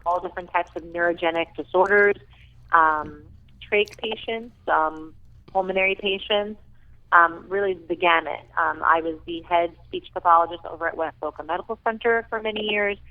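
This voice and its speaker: Earnest woman on a tinny phone line. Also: lowish voice. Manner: enthusiastic, earnest, many ums. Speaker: rehabilitation director, guest. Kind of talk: radio interview.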